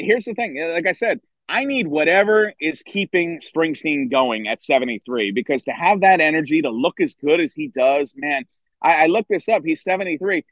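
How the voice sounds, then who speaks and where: A middle-aged man, a baseball writer guesting on a podcast, chatting enthusiastically outdoors with a hoarse voice and many restarts. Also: a little high, fairly bright tone, no echo, poor audio. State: hoarse from screaming at a concert. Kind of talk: casual podcast chat. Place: outdoors by a river.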